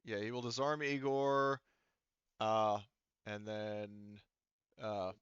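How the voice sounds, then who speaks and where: Forceful, deep-toned male game master. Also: little pitch movement, clean tone, no echo, lo-fi sound. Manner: halting, thinking aloud while adjudicating rules. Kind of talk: running an online tabletop roleplaying game, casual.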